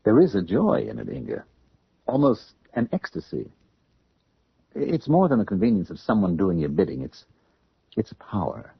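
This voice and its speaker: An American man playing a tormented, submissive young man in a radio drama, earnest and halting, as if confessing. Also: on the low side, slightly rough, no room sound, exaggerated voice. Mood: intense, confessional. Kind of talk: 1970s radio drama acting. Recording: muffled old radio drama recording.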